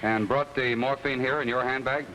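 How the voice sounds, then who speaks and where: An actor playing a composed, clever detective in 1950s television drama, matter-of-fact and assured. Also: male voice, medium pitch, steady tone, moderate pace, fairly bright tone, a little hiss or hum, lightly acted, low-quality recording. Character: composed, clever male detective trapping a killer. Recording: old TV soundtrack, music behind.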